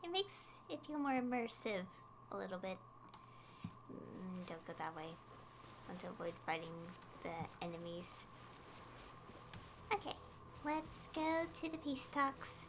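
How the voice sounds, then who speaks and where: A woman's voice: mid-range voice, big pitch swings, unhurried, pauses often, background noise. A woman streaming a game, giving casual, informal commentary as she thinks aloud. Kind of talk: casual livestream gameplay commentary. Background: game music.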